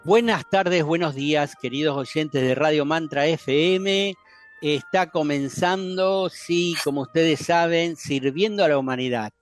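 A man who hosts a spiritual radio talk show, calmly handing the word to each guest. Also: on the high side, measured pace, music in the background. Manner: calm, friendly moderator passing the turn. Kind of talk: casual spiritual radio chat.

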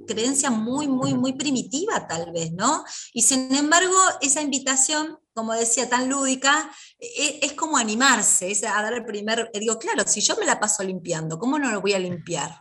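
A woman's voice: fairly high pitch, fairly bright tone. A woman hosting an interview, offering long, winding reflections to her guest. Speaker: interviewer host. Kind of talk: interview commentary.

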